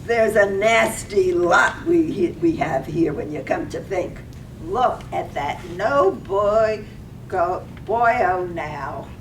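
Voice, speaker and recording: Woman as a very slow, swooping nosy housewife. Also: big pitch swings. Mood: scornful, gossipy. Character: gossipy, nosy village housewife, eager for scandal. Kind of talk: stage reading of poetic radio drama. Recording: echoey room, distant mic, faint rumble.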